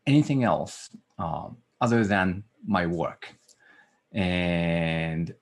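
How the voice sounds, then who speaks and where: A middle-aged man from Japan with a Japanese accent, giving an academic lecture over a video call, earnest and full of "uh" fillers. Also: on the low side. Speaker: guest lecturer.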